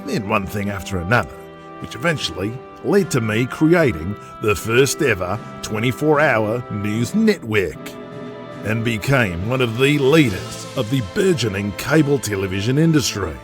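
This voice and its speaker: Give this audio drama , acted out by a male narrator, a domineering Australian tycoon and big-game hunter. He is boastful, foul-mouthed and intimidating, pitched above the narrator's usual talk. Music plays clearly behind him. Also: animated, moderate pace, lightly acted. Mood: commanding, scornful.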